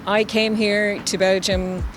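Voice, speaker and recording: A woman's voice: mid-range voice, flat delivery, slow, slight background noise. A woman with an Irish accent speaking in an interview as a personal stylist. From Ireland. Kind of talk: interview remarks. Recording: clear music under speech.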